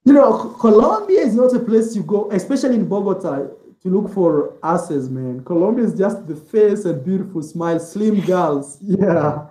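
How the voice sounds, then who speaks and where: Male interview guest, casual and rambling, filler-heavy, mellow tone, echoey room. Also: high voice, expressive, normal speed. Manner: rambling, heavy use of "like" and "man". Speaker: interview guest, travel vlogger.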